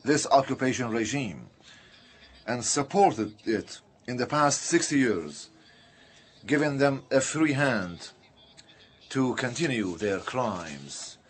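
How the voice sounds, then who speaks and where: A man interpreting a political speech live, speaking in halting, broken phrases with uneven bursts. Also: medium pitch, sing-song, slight background noise.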